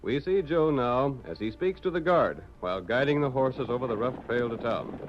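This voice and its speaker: A man's voice: mid-range voice, expressive, moderate pace, natural voice. A man with an American accent giving dramatic, scripted narration in the style of 1940s radio. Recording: muffled vintage radio recording.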